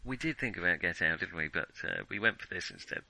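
British man, very bright forceful voice, lively swooping pitch, chatty podcast co-host. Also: quick pace, vocal fry, dry recording. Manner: chatty, reactive, frequent agreeing interjections. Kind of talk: casual chat about films.

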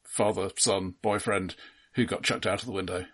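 A man with a husky, slightly low voice talks casually as a film podcast co-host. He is measured and analytical, building his points in halting phrases. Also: steady tone.

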